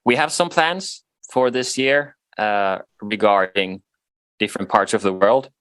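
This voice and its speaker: A man in his thirties from Finland, Swedish as his first language, chatting casually as a guest with frequent laughs and halting restarts. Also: fairly low pitch, a bit bright, clean tone, dry recording. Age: 30s. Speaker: guest musician. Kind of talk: casual interview chat.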